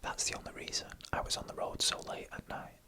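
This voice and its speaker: Whispering ASMR horror storyteller. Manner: slow, deliberate, dramatic pauses between short phrases. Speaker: narrator.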